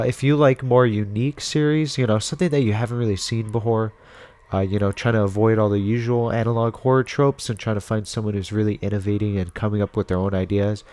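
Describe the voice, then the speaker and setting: A man's voice: lowish voice, darkish tone. A man giving casual video commentary and recommendations in an informal, rambling, conversational way. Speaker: video host and reviewer.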